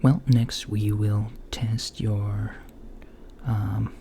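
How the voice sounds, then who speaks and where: A man playing a mild, puzzled alien doctor in a soft, breathy, often whispered ASMR roleplay, with hesitant, gently deadpan murmuring. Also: fairly low pitch, very slow, heavily acted. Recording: lo-fi home recording, faint background noise.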